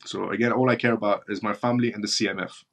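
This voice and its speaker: Blunt guy from iran hosting a live stream, reads out chat questions, keeps hammering the same point, kinda low voice. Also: fairly even intonation, rapid-fire, vocal fry, fairly bright tone. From northern Iran. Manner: blunt, repeats his points for emphasis. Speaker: live-stream show host. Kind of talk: live-stream talk answering viewer chat.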